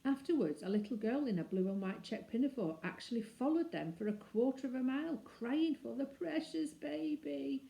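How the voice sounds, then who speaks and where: Mellow woman reading a storybook in echoey room. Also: high voice, moderate pace, gravelly, hardly any pauses. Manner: animated, dramatizes dialogue with shifting emphasis. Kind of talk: expressive children's storybook reading. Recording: roomy, echoing indoor sound.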